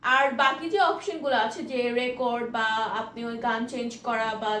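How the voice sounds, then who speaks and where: High-pitched Bangladeshi woman in an echoey room. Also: fairly bright tone. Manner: explanatory, halting, mixes in English words. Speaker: product presenter. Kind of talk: informal product demonstration. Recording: echoey room, distant mic, faint noise.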